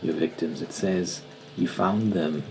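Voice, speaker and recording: A man playing a stern, formal TV news anchor in an ASMR roleplay, speaking softly and half-whispered with a stiff, stammering interviewer manner. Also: deep voice, fairly even intonation, unhurried, vocal fry, faint music behind, rough recording. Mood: formal, probing. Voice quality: soft-spoken, half-whispered.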